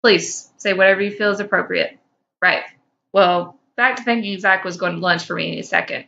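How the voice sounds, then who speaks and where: A woman's voice: medium pitch, fairly even intonation, fairly quick, gravelly, sharp tone, lo-fi sound. A woman co-hosting a podcast, speaking informally, whether reading fan fiction aloud or bantering. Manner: informal, teasing, profane. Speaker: podcast co-host.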